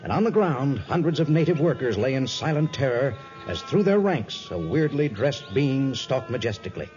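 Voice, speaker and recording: A man's voice: medium pitch, big pitch swings, moderate pace, a little mellow. A man narrating an adventure serial as announcer, in a dramatic scripted 1950s radio style. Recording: dull vintage 1950s radio recording. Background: faint music.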